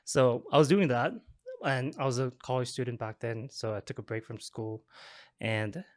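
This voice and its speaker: A young man from South Korea, a guest talking casually in an interview, relaxed and chatty. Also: medium pitch, normal speed, no echo, clean studio sound. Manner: relaxed, chatty, frequent fillers and laughs. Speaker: guest, developer relations advocate.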